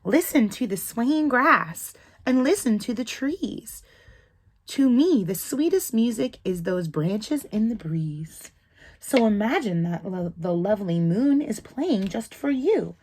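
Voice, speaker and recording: Woman voicing a soft-spoken wise cricket. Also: on the high side, animated, slow pace, breathy edge, heavily acted. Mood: gentle. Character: small wise kindly cricket.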